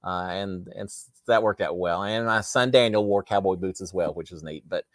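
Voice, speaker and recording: A middle-aged man, hosting a casual live chat and answering viewer comments, his throat slightly raspy and tired, rambling with many restarts. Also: low-pitched, moderate pace, dry recording, clean studio sound. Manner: rambling with frequent restarts and fillers. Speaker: livestream host.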